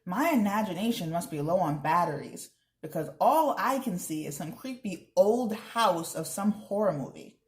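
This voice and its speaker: A woman's voice: fairly low pitch, expressive, moderate pace, reverberant. A teasing young girl from a storybook, acted out by a woman reader. She is sarcastic and exaggerates her mockery of her sibling. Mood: mocking.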